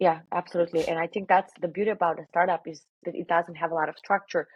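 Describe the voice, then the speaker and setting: Bulgarian-born woman in her 30s, interview guest, husky, dry dull remote audio. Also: lowish voice, no echo. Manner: energetic, filler-heavy, quick bursts. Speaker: guest, sales director. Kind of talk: casual interview conversation.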